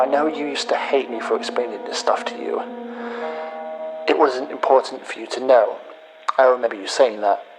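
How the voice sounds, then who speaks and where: A man playing a bitter hacker in a sci-fi audio drama, speaking deliberately with pauses as he accuses a former friend. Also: medium pitch, lightly acted, poor audio. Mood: cold, gloating. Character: bitter male hacker confessing revenge to his betrayed friend.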